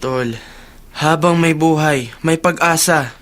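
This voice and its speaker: Loyal, reassuring friend. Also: male voice, on the high side, takes it slowly, a bit bright, a little hiss or hum. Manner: reassuring, earnest. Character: loyal, encouraging male friend, steady and sincere. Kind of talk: Filipino radio drama acting.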